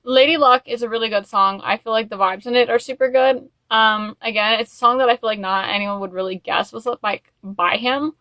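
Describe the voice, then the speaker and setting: A woman's voice: fairly high pitch, steady tone, brisk, few pauses, bright, forceful tone, no echo. A woman hosting a casual video, chatting to the camera in a rambling, gushing fan style full of "like" and "you know". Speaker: YouTube host. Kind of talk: casual chat to camera, music album review.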